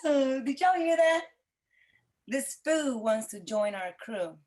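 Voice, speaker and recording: A woman's voice: high voice, expressive, takes it slowly, darkish tone. A woman acting a rude, mocking sixteen-year-old clique girl, sneering and taunting, in a scripted read.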